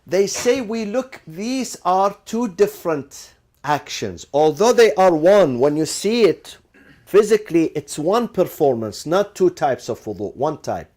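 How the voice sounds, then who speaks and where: A man's voice: high-pitched, sing-song, measured pace, darkish tone. A man teaching a class, posing rhetorical questions, answering them himself and repeating key phrases, with Arabic legal terms mixed in. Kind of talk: classroom lecture.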